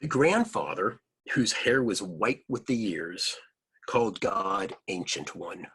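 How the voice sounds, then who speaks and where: A man reading a picture book aloud to children, adding simple explanatory asides in an expressive manner. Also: low-pitched, takes it slowly, breathy edge.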